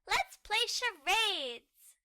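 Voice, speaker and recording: A woman's voice: very high voice, very animated, drawn-out words, sharp tone, no echo, clean studio sound, voice pushed up, full character voice. A woman voicing a cheerful, eager young cartoon puppy girl for a storybook, with exclamatory, child-like delivery.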